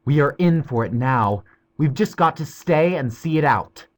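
A man's voice: fairly high pitch, expressive, measured pace, voice pushed up. A man voicing a bold, patronizing young boy who talks in a bossy, take-charge way. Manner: bossy, reassuring.

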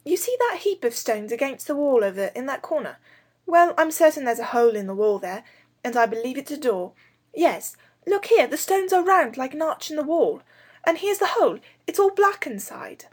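An adventurous young storybook boy, acted out by a woman narrator, eager and excitable. The pitch is high, and he exclaims with urgency. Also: moderate pace. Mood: excited.

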